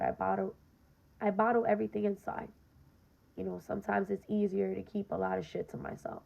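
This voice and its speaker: A young woman with a very gravelly, raspy voice talks straight to her listeners in a casual podcast monologue. Her delivery is earnest and direct, with a fairly flat pitch. The recording sounds dull and lo-fi. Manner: earnest, direct, frequent "um" and "you know". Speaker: podcast host.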